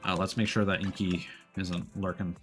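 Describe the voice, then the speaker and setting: Low-voiced man chatting through a game stream. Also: little pitch movement, moderate pace, a bit bright, soft background music. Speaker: streamer, VTuber. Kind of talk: casual live game-stream commentary.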